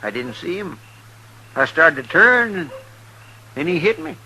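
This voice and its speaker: An actor playing an anxious, simple frontier deputy in a radio western, with a rural Western drawl and a halting, pleading manner. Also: male voice, on the high side, sing-song, creaky, sharp tone, exaggerated voice, poor audio. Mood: agitated, pleading. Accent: rural Western American drawl. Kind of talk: 1950s radio western acting. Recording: old radio recording, faint hum and hiss.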